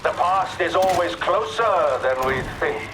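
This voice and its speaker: A man's voice: high voice, animated, unhurried, very noisy. A man voicing a menacing heretical prophet in a video game, solemn, grandiose and taunting. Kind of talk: video game villain monologue.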